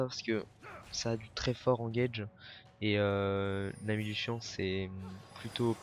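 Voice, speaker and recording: A young man with a cold, commentating a video game match alone, hesitant, with many fillers and self-corrections. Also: on the low side. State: has a cold, coughing. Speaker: esports caster.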